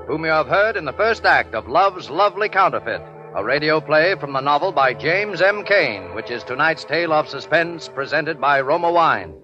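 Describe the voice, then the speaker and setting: Prominent music plays under a male announcer on a dull forties radio recording. His voice sits a little high, and he introduces the drama in formal, scripted period fashion. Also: animated, normal speed.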